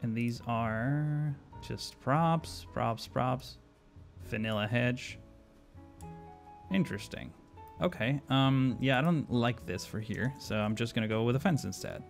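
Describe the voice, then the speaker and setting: A man's voice: mid-range voice, takes it slowly, vocal fry, faint music behind. A man from Argentina streaming a city-building game live, thinking aloud with many fillers and answering chat questions as he plays. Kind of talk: live stream commentary while playing.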